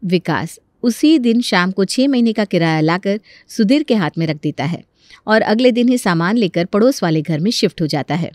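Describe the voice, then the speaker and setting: A woman's voice: medium pitch, lively intonation, moderate pace, a little mellow, no echo. Woman narrating a story, very dry sound.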